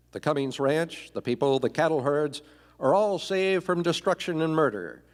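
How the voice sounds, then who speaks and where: A man giving dramatic scripted narration for a live Western radio play. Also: a little high. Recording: echoey hall, live stage performance.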